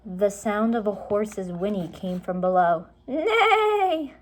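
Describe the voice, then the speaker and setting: A woman narrates a children's storybook at a slow pace. Her pitch is mid-range, and she phrases things simply for young listeners. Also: steady tone, darkish tone, slight background noise, rough recording. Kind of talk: children's storybook read-aloud.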